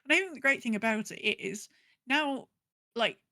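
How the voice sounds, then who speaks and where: Bright, higher-pitched woman chatting. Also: moderate pace, no echo, studio quality. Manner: animated, rambling storyteller who acts out her own thoughts. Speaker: podcast co-host. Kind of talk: casual chat about gaming.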